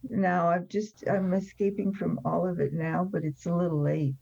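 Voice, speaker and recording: Gravelly older woman, blunt and emphatic. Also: lowish voice, fairly even intonation, normal speed, darkish tone, dry recording. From France. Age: 70s or older. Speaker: interview guest, recovery speaker. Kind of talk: personal storytelling in an interview. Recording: slightly dull, band-limited sound.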